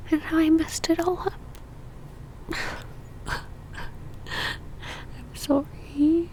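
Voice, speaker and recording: A woman playing a possessive, lovesick female vampire in an ASMR roleplay, whispering breathily in a halting, pleading and tender way. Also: high voice, darkish tone, slight background noise, poor audio. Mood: regretful, lovesick.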